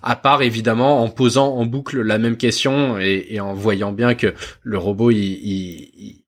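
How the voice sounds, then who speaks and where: A man co-hosting a tech podcast, hesitant, repeating words and filling pauses with many "euh". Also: fairly low pitch, fairly even intonation, husky. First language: not English. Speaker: podcast co-host. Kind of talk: casual tech podcast chat.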